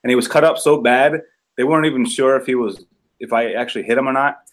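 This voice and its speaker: A man in his ordinary middle pitch talking casually. He rambles through his story in a relaxed, unhurried way, full of "like" and "you know". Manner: laid-back, rambling storytelling with many fillers. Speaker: police officer guest. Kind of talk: casual chat.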